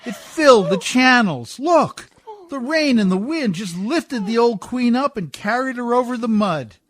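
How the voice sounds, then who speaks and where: An actor playing a rough, warm-hearted, weary riverboat skipper with folksy, ungrammatical speech in a radio play. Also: male voice, very high voice, lively intonation, unhurried, no room sound. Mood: excited.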